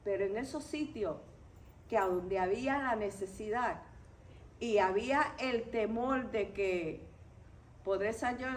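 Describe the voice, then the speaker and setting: A woman's voice: a little high, slight background noise, low-quality recording. A woman from Puerto Rico with a Puerto Rican accent, teaching a Bible study to a church group in a didactic way, stressing key points.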